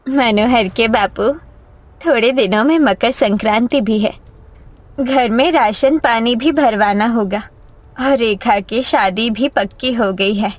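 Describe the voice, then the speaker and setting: A careworn mother from a cartoon moral tale, played by a woman. Her voice is on the high side and very slow. She sounds plaintive, earnest and worried about her household. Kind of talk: Hindi moral-story cartoon dubbing.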